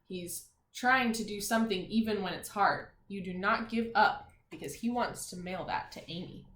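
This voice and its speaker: A woman teacher reading a picture book to young children, expressive and emphatic on the text, with softer, encouraging conversational asides and questions. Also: mid-range voice, normal speed. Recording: echoey room sound.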